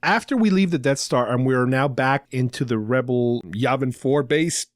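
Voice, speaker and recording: A man's voice: medium pitch, normal speed, no room sound, studio quality. A man hosting a show, explaining things conversationally in a casual fan discussion with a co-host. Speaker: podcast host.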